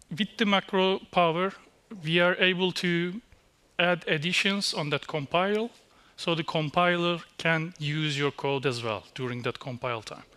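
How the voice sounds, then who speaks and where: A man, giving a technical conference talk on a dark stage, halting with fillers and restarts. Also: fairly high pitch, clear, resonant tone. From Turkey. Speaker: conference speaker. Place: dark stage in a small venue.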